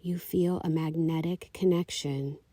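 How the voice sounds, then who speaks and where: A woman leading a guided meditation, giving calm, soothing, unhurried instructions. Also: low voice, dry recording. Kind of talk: guided meditation, slow scripted narration.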